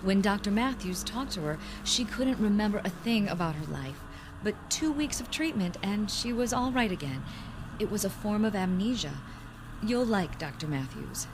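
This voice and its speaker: An actress playing a worried, loyal young fiancée in a radio drama, earnest and concerned. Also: female voice, mid-range voice, lively intonation, normal speed, background noise, lightly acted. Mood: soothing, reasoning. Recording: music behind the voice.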